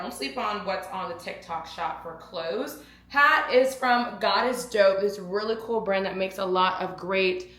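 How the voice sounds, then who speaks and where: Bright chatty woman vlogging. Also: mid-range voice, reverberant. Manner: chatty, talks to herself and viewers, repeats words. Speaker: lifestyle vlogger. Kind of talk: casual vlog chat to camera.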